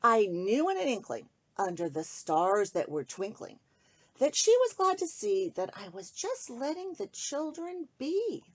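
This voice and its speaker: A woman reading a rhyming children's story aloud in an expressive, sing-song way, speaking directly to young listeners. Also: fairly high pitch, measured pace, slight background noise.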